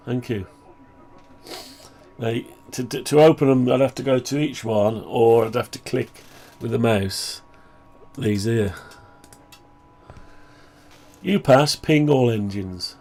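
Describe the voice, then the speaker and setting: A man talking through a project update on his own software, rambling and thinking aloud, voice groggy from the flu. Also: mid-range voice, slow, pauses often. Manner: rambling, thinking aloud, frequent throat clearing. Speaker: hobbyist maker showing his own software. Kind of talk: casual screen-share project update.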